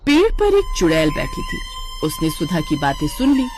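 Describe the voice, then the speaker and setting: A woman's voice: very high-pitched, wildly swooping pitch, moderate pace, a little mellow, a little hiss or hum. A woman storyteller reading scripted narration of a tale.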